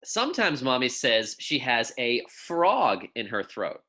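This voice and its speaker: Friendly teacher doing a read aloud for little kids, really bright clear-cut voice, informal and encouraging. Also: medium pitch, big pitch swings, measured pace. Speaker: elementary school teacher. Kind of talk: read-aloud lesson for young children.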